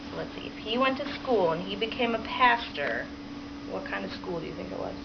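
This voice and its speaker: A woman primary school teacher reading a picture book aloud to children and pausing to prompt them with questions. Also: medium pitch, lively intonation. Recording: dull lo-fi sound with clear background noise.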